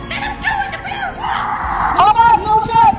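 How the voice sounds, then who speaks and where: A woman voicing a panicked young female character who yells warnings, in a fan dub. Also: very high voice, big pitch swings, a little slow, loud music behind the voice, exaggerated voice. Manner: yelling in panic. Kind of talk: Tagalog fan dub acting.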